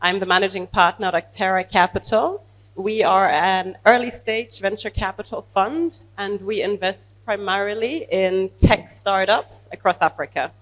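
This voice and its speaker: A middle-aged woman introducing herself on a live panel, with a loud, animated and chatty way of talking, speaking into a handheld mic at an event. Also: mid-range voice, normal speed, poor audio. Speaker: panelist, venture capital investor. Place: event stage with audience. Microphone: handheld microphone.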